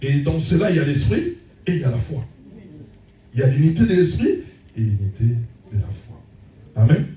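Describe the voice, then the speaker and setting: A man's voice: fairly high pitch, sing-song, normal speed, pauses often, mellow. A man preaching a sermon as pastor, punctuating his points with frequent calls of amen. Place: church hall. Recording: echoey church room, voice from a distance.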